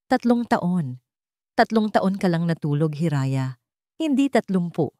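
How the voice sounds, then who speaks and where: A Filipino woman voicing a calm, reassuring doctor in a story, explaining things in a measured, emphatic way. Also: fairly low pitch, no echo, studio quality. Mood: firm reassurance.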